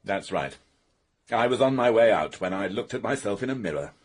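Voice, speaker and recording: An actor playing a defensive, self-pitying British bachelor painter in a radio mystery, theatrical and sardonic. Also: male voice, fairly low pitch, lively intonation, a little fast. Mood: rueful.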